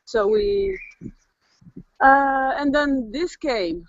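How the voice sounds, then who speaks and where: Gravelly middle-aged woman, relaxed chat. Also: high voice, drawn-out words, dry recording. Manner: relaxed, chatty, thinking aloud. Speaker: local resident. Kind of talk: casual interview answers. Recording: dry, dull lo-fi audio.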